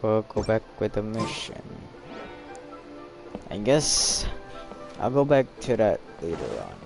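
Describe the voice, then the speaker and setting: A man playing through a video game, reading dialogue aloud and commenting in a halting, offhand way. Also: lowish voice, animated, music in the background. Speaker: gaming streamer.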